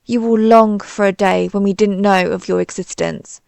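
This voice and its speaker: A woman's voice: mid-range voice, steady tone, normal speed, gravelly, darkish tone, clean tone, no room sound, clean studio sound, natural voice. A woman playing a pompous, easily flustered female alien invader in a scripted audio roleplay, with haughty, theatrical, formal phrasing. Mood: pompous, menacing.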